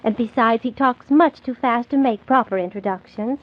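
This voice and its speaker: A woman playing a kindly young storybook hostess in a 1940s children's radio drama, sweet, welcoming and gently indulgent. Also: fairly high pitch, normal speed, slight background noise, lightly acted. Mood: welcoming, amused. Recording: muffled 1940s radio transcription.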